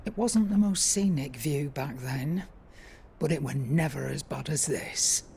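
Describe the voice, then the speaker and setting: A woman's voice: deep voice, unhurried, gravelly, dark tone, a little hiss or hum. An actress playing a brusque, teasing old trader woman in a video game, with a blunt, colloquial manner. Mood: wry. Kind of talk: video game cutscene acting.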